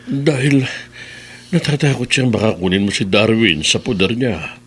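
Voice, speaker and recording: Elderly father figure, lowish voice, grave, caring, solemn, radio drama. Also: expressive, normal speed, a bit bright. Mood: grave, concerned. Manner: solemn, explanatory. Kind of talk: Tagalog radio drama acting.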